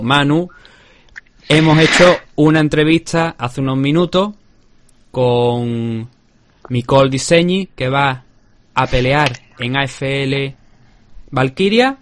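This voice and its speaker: A man with a Spanish accent hosting a podcast, talking casually and rambling with many restarts. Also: mid-range voice. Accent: Spanish from Spain. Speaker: podcast host. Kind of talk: casual chat. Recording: remote call.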